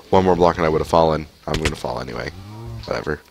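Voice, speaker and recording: A young man with a very deep voice talks over his game on a headset mic, stuffy from a cold. He rambles along casually, full of likes and ums, with a faint hiss of noise behind him at home. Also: quick pace, creaky, a little mellow. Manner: rambling, chatty, many fillers. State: has a cold. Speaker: streamer. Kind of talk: casual gameplay commentary. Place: home room, indoors.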